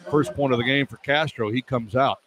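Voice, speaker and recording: A man calling live basketball on the radio in clipped running descriptions that rise into excited calls, as a play-by-play announcer. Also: mid-range voice, fairly quick. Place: indoor college basketball arena. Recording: clear arena noise behind the voice.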